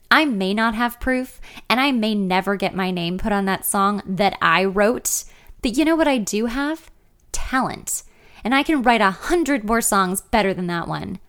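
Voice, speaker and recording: A woman's voice: mid-range voice, lightly acted. Woman acting a furious, wronged young songwriter. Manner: forceful, emotional. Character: wronged young female songwriter, wounded and defiant.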